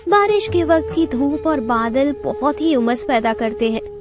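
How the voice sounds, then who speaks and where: High-pitched woman narrating a story. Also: animated, a little slow, music in the background. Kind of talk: scripted Hindi story narration.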